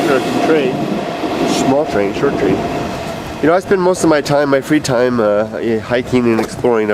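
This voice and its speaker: Stuffed-up fifties man, casual hesitant vlogger, recorded outdoors with clear traffic noise. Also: medium pitch. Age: 50s. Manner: rambling, halting, with many fillers and restarts. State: stuffed up with allergies. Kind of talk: casual video message. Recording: noisy outdoor street recording.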